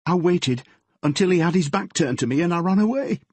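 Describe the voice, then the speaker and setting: Audiobook figure: male narrator voicing a scared teenage girl, pitched high, breathless, husky. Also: lively intonation, brisk, no room sound. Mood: anxious. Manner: shaky, pleading, breathless. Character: frightened tearful teenage girl, a policeman's daughter.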